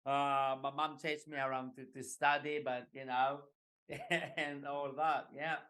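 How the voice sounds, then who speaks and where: A man in his forties from Greece, talking as a guest in a casual interview over a video call, relaxed and halting, with many fillers and light laughs. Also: medium pitch. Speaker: guest, executive coach.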